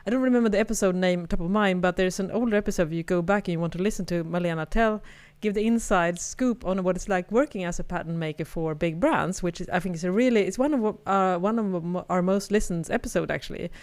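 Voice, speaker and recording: A woman's voice: lowish voice, fast, few pauses, darkish tone. A woman with a Swedish accent, co-hosting a podcast in casual, chatty conversation, laughing often and restarting her sentences. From Sweden. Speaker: podcast co-host. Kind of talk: casual chat.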